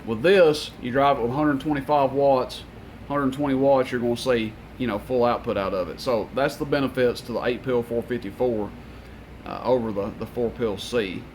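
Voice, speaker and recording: Male seller, mid-pitched, informal and matter-of-fact inventory presentation, rambling technical talk over audible background noise. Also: fairly even intonation, moderate pace, darkish tone. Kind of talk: informal product rundown to camera.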